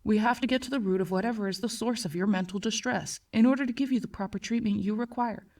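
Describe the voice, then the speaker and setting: A woman's voice: medium pitch, natural voice. Woman playing a dry clinical male doctor, distant. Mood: calm, coaxing. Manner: soft-spoken, measured monotone, formal. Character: dry clinical male psychiatrist, calm and professional. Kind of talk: acted horror story dialogue.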